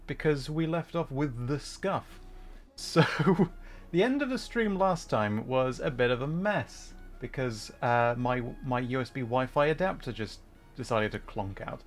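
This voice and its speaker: A man's voice: a little high, lively intonation, moderate pace, faint music behind. A man hosting a gaming livestream, chatting casually and playfully while he comments on the game.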